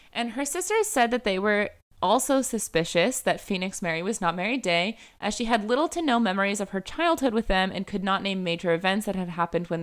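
A woman's voice: mid-range voice, fairly bright tone, smooth. A young woman hosting a true-crime podcast, telling a case in a casual chatty way, full of "like" and "um" fillers. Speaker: podcast host.

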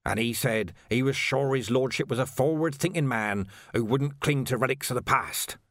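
A man's voice: medium pitch, normal speed, no echo, studio quality, pitched above the performer's own voice, natural voice. A man voicing a morbid, sulky, pleading gravedigger in an audiobook. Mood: sheepish. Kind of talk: audiobook character voice.

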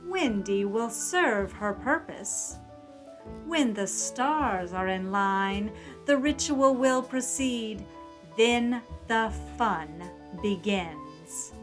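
A woman's voice: mid-range voice, expressive, extremely slow, over music. A woman playing a haughty, scheming middle-aged mother, a cold villainess in a 1930s suspense radio drama. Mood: coldly conspiratorial.

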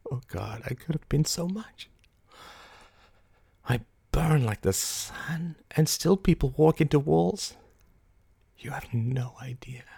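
A man's voice: mid-range voice, animated, a little mellow, dry recording, pitched above the performer's own voice, close to an everyday voice. A man voicing an intense, volatile woman, commanding yet fragile, in a dramatic audiobook reading. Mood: anguished. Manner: dramatic, deliberate, mercurial.

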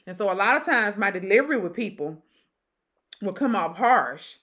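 A woman in her forties with a deep voice, hosting a casual podcast chat and preaching in an emphatic, repeating way. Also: animated, moderate pace, fairly bright tone. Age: 40s. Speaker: podcast host.

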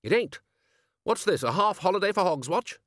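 A bluff, booming old wizard in charge of a university, as a male narrator puts him on. He sounds loud and hearty, and his voice jumps about with plenty of lift. It is recorded close and dry. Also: expressive, moderate pace, dry recording.

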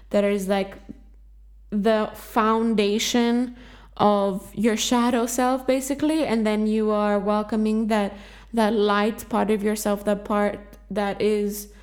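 A woman's voice: mid-range voice, darkish tone. A woman whose first language is Greek, hosting a self-help podcast. She gives earnest, encouraging instructions and often repeats herself. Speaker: podcast host.

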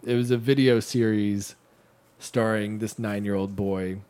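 A man's voice: on the low side, steady tone, a little slow, a little mellow, clean tone. Chatty man in easygoing podcast talk. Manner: enthusiastic, chatty, brings up trivia. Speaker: podcast co-host. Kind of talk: casual chat.

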